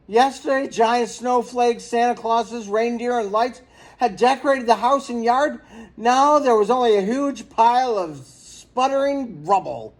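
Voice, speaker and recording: A man reading a children's story aloud in an expressive, dramatic storytelling manner. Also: very high-pitched, measured pace. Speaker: storybook reader.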